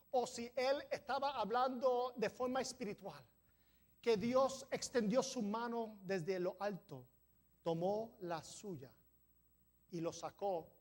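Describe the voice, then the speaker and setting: A high-pitched man delivering a church sermon. He is not a native speaker, sometimes trips over a word, and pushes his voice up toward emphatic peaks as he preaches. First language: not Spanish. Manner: preaching with rising emphasis, some stumbles over words. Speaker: pastor. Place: church sanctuary.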